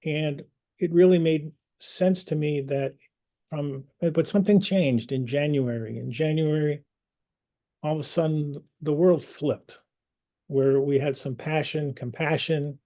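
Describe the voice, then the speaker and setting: A man hosting an online community meeting, speaking earnestly and personally, pausing with fillers and restarts. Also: a little high, fairly even intonation, warm tone. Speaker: community group host.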